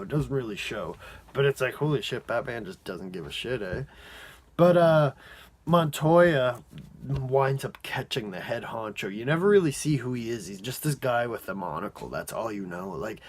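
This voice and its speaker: A man giving a casual, off-the-cuff review as an amateur reviewer, rambling and halting, often padding with "like" and letting sentences trail off. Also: medium pitch.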